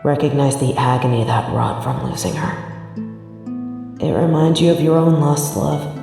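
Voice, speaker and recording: A woman narrating a scripted story in the second person, in a measured storytelling manner. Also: deep voice, gravelly. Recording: very echoey, long reverb, music behind. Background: music.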